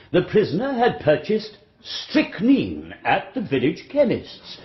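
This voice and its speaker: An actor playing a formal, long-winded prosecuting barrister in a radio drama, oratorical and pedantic in manner. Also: male voice, fairly high pitch. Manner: oratorical, measured, pedantic exposition. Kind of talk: radio courtroom drama acting. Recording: dull, roomy radio drama recording.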